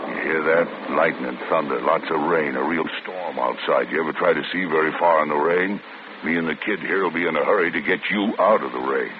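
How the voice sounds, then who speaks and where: Real deep tough-talking convict, menacing, old tinny radio hiss. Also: male voice, a little fast. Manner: tough gravelly street talk, clipped threats. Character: hardened male convict, desperate and menacing. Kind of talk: 1940s radio acting.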